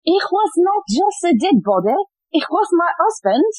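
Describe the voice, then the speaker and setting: A woman's voice: very high-pitched, big pitch swings, moderate pace, darkish tone, no echo. A woman playing a testy, unsentimental widowed French housekeeper in a farcical audio play, dry and indignant.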